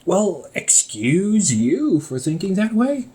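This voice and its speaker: A man's voice: sits high, big pitch swings, takes it slowly, warm tone. A young man playing an easygoing shop owner talking to his sibling in a one-sided audio roleplay, teasing and laughing often. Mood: defensive.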